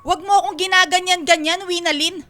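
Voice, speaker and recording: A woman's voice: very high voice, steady tone, brisk, bright, forceful tone. An actress playing an exasperated young Filipino working woman, a dutiful daughter and strict older sister, in a radio drama. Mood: stern, scolding. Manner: exasperated, pleading.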